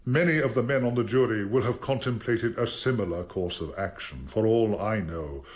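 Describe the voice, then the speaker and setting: An actor playing a formidable English defence barrister in a radio drama, commanding, deliberate and rhetorical. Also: male voice, fairly low pitch, natural voice. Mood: solemn pressing.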